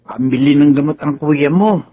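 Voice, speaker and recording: A woman playing a gentle, pleading middle-aged mother in a drama, with a Filipino accent, in a muffled, noisy recording. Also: deep voice, normal speed. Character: gentle, pleading older mother of grown children.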